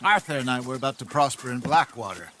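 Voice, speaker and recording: An actor playing a weary, wry older outlaw in a video game, with an American accent and a folksy, plainspoken manner. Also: male voice, medium pitch, animated, quick pace, sharp tone, slight background noise. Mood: worried. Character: weary, wry old male outlaw, worried elder. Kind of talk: naturalistic video game acting.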